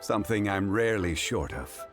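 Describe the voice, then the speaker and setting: A deep-voiced, composed scholar from a video game, played by a man, formal and courteous in every phrase. The voice is low, yet the pitch still moves with expression, and game music sits clearly behind it. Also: animated, moderate pace, natural voice. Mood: calm, courteous. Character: calm, learned gentleman scholar, modest and formal.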